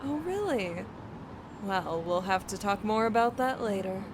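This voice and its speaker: An actress playing a gentle, anxious mother in an audio drama, speaking tenderly and carefully. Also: mid-range voice, animated, unhurried, background noise, close to an everyday voice. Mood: uneasy. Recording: music behind the voice.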